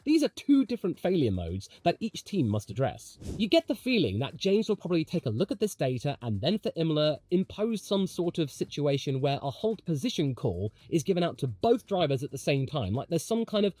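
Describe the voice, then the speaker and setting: A man presents opinionated motorsport commentary in a slightly high, very lively voice. His tone is mellow, and he leans on emphatic rhetorical questions and wry asides. It reads like a scripted video essay from a show's host. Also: brisk, hardly any pauses.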